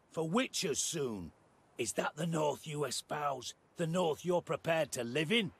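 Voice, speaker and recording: Game role: scheming middle-aged British spy chief, deep register, unhurried menace, rhetorical bite. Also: male voice, fairly bright tone, slightly rough, full character voice. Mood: indignant. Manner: deliberate, sardonic, rhetorical. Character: cunning, blunt middle-aged male spymaster, menacing. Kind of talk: video game cutscene dialogue.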